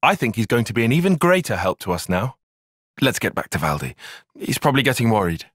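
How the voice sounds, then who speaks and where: An actor playing a young soldier strategist in a video game, formal, bookish and wry, easily flustered. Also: male voice, mid-range voice, very animated, a bit bright, no echo, clean studio sound. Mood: earnest. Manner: formal, measured, precise, dryly sardonic. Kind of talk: video game cutscene voice acting.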